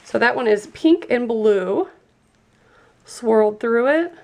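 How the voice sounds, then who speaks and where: A woman's voice: on the high side, expressive, slow pace, vocal fry, darkish tone. A woman reviewing and unboxing a gift set in a chatty, enthusiastic way, sounding stuffy and congested from a cold. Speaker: product reviewer.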